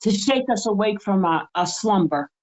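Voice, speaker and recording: A woman's voice: mid-range voice, animated, moderate pace, a little mellow, lo-fi sound. A woman in her seventies, a podcast co-host, speaking with fiery, emphatic conviction in a preaching cadence. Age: 70s. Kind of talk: impassioned spiritual podcast talk.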